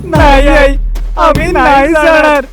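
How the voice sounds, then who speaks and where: An actor voicing a small, devoted young lion cub in an animated story, pleading in an earnest, childlike way. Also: high-pitched, slow, over music. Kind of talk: animated story character voice acting.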